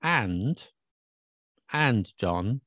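A man's voice: on the low side, animated, extremely slow, fairly bright tone, husky, dry recording, clean studio sound. A man hosting a casual podcast, chatty and explanatory, laughing often as he teaches. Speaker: podcast host and English teacher. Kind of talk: casual chat. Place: Paris.

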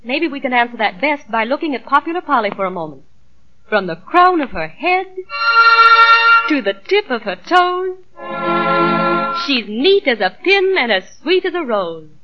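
Female spokeswoman, fairly high lively voice, scripted 1940s ad, dull old radio sound. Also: normal speed, faint music behind. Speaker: commercial spokeswoman.

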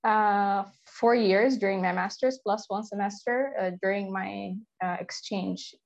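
A woman's voice: mid-range voice, fairly even intonation, a little slow, creaky, a little mellow, no room sound, highs cut off. Young woman explaining, dry close sound. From Israel. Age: young adult. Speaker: interview guest. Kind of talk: conversational interview.

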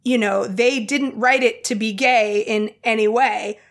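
A woman's voice: fairly high pitch, steady tone, a little slow. A woman from Rhode Island with a New England private-school accent, co-hosting a podcast with wry, teasing, self-deprecating chat. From Providence, Rhode Island, USA. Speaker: podcast co-host.

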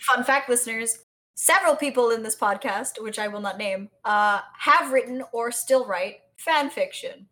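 An American woman from South Florida chatting casually as a podcast co-host, telling stories in an animated way. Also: fairly high pitch, moderate pace, low-quality recording. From South Florida, United States. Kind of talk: casual conversational chat.

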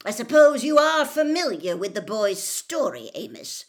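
A woman's voice: on the high side, very animated, normal speed, voice pushed up. A woman voicing a stern, coldly angry senior male government wizard with a curt, formal, barking delivery in an audiobook. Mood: indignant fury.

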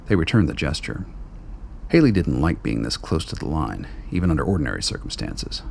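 A man narrating a radio drama in a steady, deliberate storytelling manner. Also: extremely low pitch, gravelly, slight background noise. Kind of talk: scripted narration.

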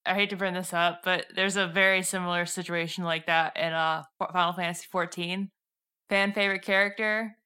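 Woman, monotone and very clear, matter-of-fact. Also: fairly low pitch, fairly quick. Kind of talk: casual chat.

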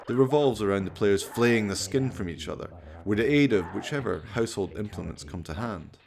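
A man giving theatrical, wry scripted narration for a horror radio play. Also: lowish voice. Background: music.

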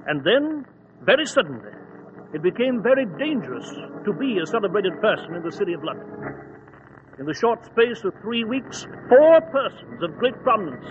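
A man's voice: sits high, sing-song, normal speed. An actor playing a bluff, loyal middle-aged male doctor in a hearty, exclaiming manner, in 1940s radio acting. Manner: hearty, exclaiming, old-fashioned English. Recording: tinny lo-fi old radio broadcast with faint hiss.

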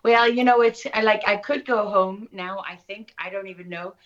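A woman's voice: mid-range voice, moderate pace, a bit bright. A middle-aged woman with a South African accent, talking reflectively and anecdotally as an interview guest. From Durban, South Africa. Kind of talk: conversational interview. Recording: slightly dull, lo-fi audio.

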